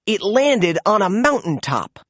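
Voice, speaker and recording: Unhurried, animated woman storyteller. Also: mid-range voice, no echo, clean studio sound. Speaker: storybook reader. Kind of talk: animated children's read-aloud narration.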